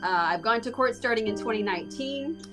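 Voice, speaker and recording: A woman's voice: fairly high pitch, lively intonation, a little fast, over music. A woman answering in spontaneous personal testimony.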